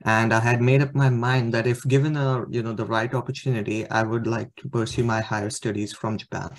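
A man's voice: mid-range voice, little pitch movement, brisk. Hesitant young man presenting slides. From India. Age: young adult. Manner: hesitant, many fillers. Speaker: student presenter. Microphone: webcam on video call.

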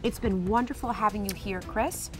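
A woman's voice: medium pitch, moderate pace, music in the background. A woman in her thirties hosting a TV cooking show, eager and full of praise, asking the chef questions in a tent kitchen. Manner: enthusiastic, asks leading questions. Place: outdoor festival tent kitchen.

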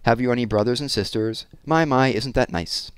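A man's voice: mid-range voice, normal speed, clear, resonant tone, no room sound, clean studio sound, voice pushed up, lightly acted. A man playing a suave, patronizing male hairdresser with a theatrical manner in an audiobook story.

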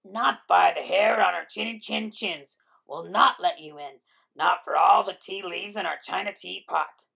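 A woman voicing the three little wolves, timid but stubborn, chanting loudly in defiance. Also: lowish voice, a little slow, vocal fry, husky, heavily acted. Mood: defiant.

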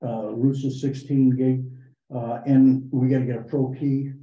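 A man reporting to a town board meeting, talking in a halting way with many fillers and restarts. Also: mid-range voice, little pitch movement, dark tone. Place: town hall meeting room. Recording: echoey, muffled meeting room recording.

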